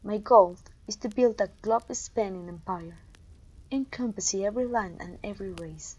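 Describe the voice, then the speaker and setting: Woman voicing an evil sorceress queen, breathy husky voice, imperious, pompous, condescending, menacing, ASMR roleplay delivery. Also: mid-range voice, darkish tone, natural voice, poor audio. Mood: scornful, ambitious. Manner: pompous, commanding, deliberate condescension. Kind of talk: ASMR roleplay voice acting addressed to listener.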